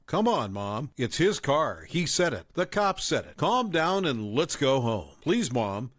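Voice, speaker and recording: A man voicing a calm, apologetic young son. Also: on the high side, moderate pace. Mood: calm pleading. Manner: soft, soothing, apologetic.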